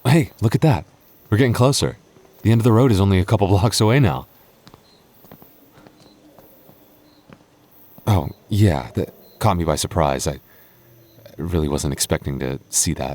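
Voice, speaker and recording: Casting: male actor as young boyfriend for roleplay audio, low-pitched, soft-spoken, affectionate, nervously stammering. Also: a little fast. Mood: tender. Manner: hesitant, stammering, soft-spoken intimate delivery.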